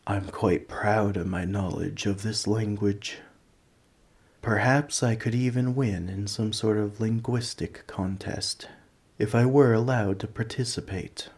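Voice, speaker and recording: A man voicing an ancient, gentle, nerdy dragon in an ASMR roleplay, rambling in a politely formal, scholarly way. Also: lowish voice, fairly even intonation, measured pace, slightly rough. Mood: grateful, gentle. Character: ancient, gentle, nerdy male dragon who collects coins. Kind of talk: scripted ASMR roleplay, speaking to the listener.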